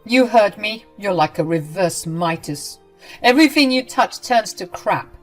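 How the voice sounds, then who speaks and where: A woman voicing a cruel, scornful older mother-in-law who yells and sneers. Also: animated, a little slow, faint music behind, natural voice. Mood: contemptuous. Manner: shrill, contemptuous, yelling.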